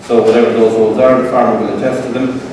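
A middle-aged man with an Irish accent giving a relaxed conference talk with a live demo, with frequent fillers, to a seated audience in an event hall. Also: mid-range voice, fast talker, noisy background. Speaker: tech presenter. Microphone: room pickup from far camera. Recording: roomy hall echo from far off.

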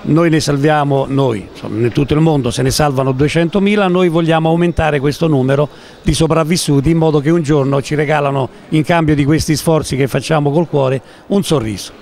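Earnest older Italian man, slow and modest. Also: on the high side, creaky, a little mellow. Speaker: charity event president. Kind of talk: interview at an event. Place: large indoor lobby of a concert venue. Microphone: handheld interviewer mic.

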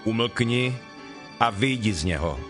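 An actor playing a calm, authoritative holy teacher in a dramatized Bible reading, speaking in a measured, solemn, declamatory way. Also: male voice, on the low side, faint music behind. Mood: commanding. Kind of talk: dramatized Bible audio acting.

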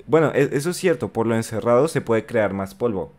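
A man's voice: medium pitch, animated, a little fast, darkish tone, a little hiss or hum. A young man with a Colombian accent, chatting and joking as he reacts to a video for his viewers, close to a studio mic in his bedroom. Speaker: reaction streamer. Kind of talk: casual reaction commentary. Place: bedroom streaming setup. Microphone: studio mic on arm in front.

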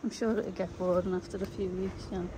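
A woman's voice: medium pitch, level tone, fairly quick. A British woman chatting casually as she narrates a travel vlog on location. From United Kingdom. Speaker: travel vlogger. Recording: noisy outdoor ambience, vehicle sounds.

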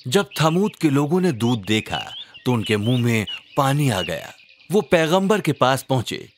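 A man narrating a scripted children's religious story in a measured, dramatic storyteller delivery. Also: medium pitch, sing-song, slight background noise.

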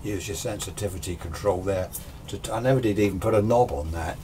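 A man's voice: sits low, quick pace. A British man in his sixties giving an informal technical explanation in a casual, rambling, self-deprecating way, as a hobbyist presenter. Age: 60s. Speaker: amateur radio hobbyist presenter. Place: small indoor home radio workshop. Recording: steady background noise.

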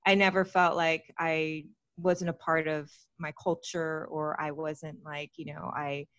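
A woman in her thirties tells her story in a chatty, open way, with plenty of fillers. Her voice sits a little low and comes through a close microphone with no room sound at all. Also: level tone, measured pace, a bit bright, no room sound, lo-fi sound. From Texas, United States. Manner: chatty and open, with frequent fillers and small laughs. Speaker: podcast guest. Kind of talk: candid personal storytelling in an interview. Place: small room at home, indoors. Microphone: large studio mic with foam cover, close.

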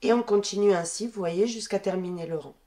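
A woman with a stuffy head cold talks through a crochet pattern in a calm, even voice. She counts her stitches aloud as she works and keeps every step plain. Her voice sits at an ordinary middle pitch for a woman. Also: brisk. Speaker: crochet tutorial host. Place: indoors at a wooden table.

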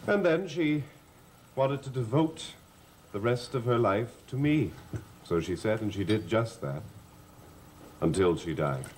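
An actor playing a proud, grieving widowed gentleman in a 1960s television soap, speaking gravely and formally. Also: on the low side, animated, unhurried, pauses often, a little hiss or hum. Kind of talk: 1960s TV soap opera acting. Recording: vintage TV soundtrack with background music.

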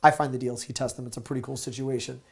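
A man in his thirties hosting a deal show, pitching products to camera in an upbeat, salesy way, a little hoarse. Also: fast talker. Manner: energetic, salesy, gesturing with products. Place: small studio with shelves of gadgets. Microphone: lapel mic.

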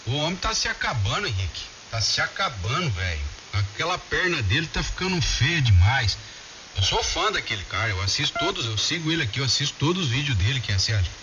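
A hissy, dull shortwave signal carries a Brazilian man of medium pitch. He talks casually and colloquially, like an amateur radio operator addressing his friends on the air. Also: sing-song, fairly quick. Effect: shortwave ham radio transmission. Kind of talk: casual chat over ham radio.

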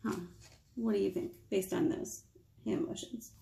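American lady doing kids storytime, playful, mellow voice with some creak, lots of questions to the kids. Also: medium pitch, unhurried. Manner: playful, expressive, asks the children questions. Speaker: children's storytime librarian. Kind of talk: interactive picture-book storytime for preschoolers. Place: small indoor room with whiteboard.

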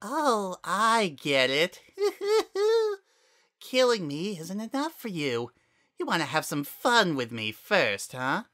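A man's voice: huge pitch swings, slow, sharp tone, full character voice. A man voicing a small, spiteful, menacing flower creature who shrieks and taunts. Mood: mocking. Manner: high taunting shrieks, cackling.

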